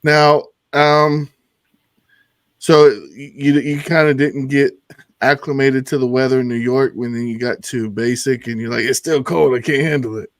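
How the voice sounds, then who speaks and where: A man's voice: medium pitch, fairly even intonation, measured pace, no echo. Man chatting casually, dry close sound. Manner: informal, reactive, agreeing. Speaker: podcast host, military veteran. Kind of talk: casual chat.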